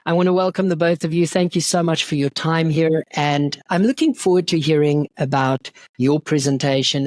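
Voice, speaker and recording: A host opens the episode with an upbeat welcome to the guests. The voice sits at a middle pitch with a dry, close sound. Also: a little mellow, no echo. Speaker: interviewer host. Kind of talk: scripted show introduction.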